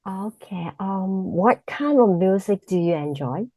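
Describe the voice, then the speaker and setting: A woman, a native Cantonese speaker, answering in a mock speaking exam in halting English with a Cantonese accent, often stopping to search for words. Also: mid-range voice, animated, mellow, dry recording, studio quality. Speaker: exam candidate.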